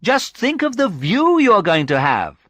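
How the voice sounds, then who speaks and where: A lively, confident woman from Brazil played by a male reader in a storybook, teasing and friendly. The pitch sits high and leaps about with playful emphasis, in a dry, close sound. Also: a little slow, no echo, heavily acted. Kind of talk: audiobook character dialogue.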